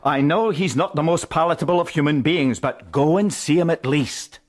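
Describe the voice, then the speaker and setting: A man's voice: a little high, moderate pace, noisy background, close to an everyday voice. An actor playing a practical, concerned male friend, speaking in a firm advising manner in a radio drama.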